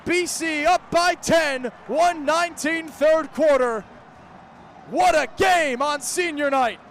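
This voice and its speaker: A young man works as a radio play-by-play announcer for college football. His voice sits high for a man and he describes the action in short, clipped phrases. Faint outdoor stadium noise runs behind him. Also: slow, a bit bright. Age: young adult.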